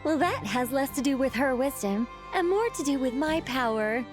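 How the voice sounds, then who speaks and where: High-voiced gentle angel mage. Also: female voice, expressive, moderate pace. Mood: knowing, surprised. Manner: polite, poised, gently teasing. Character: gentle former angel turned mage, serene, playfully grand. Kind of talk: video game cutscene dialogue. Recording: music behind the voice.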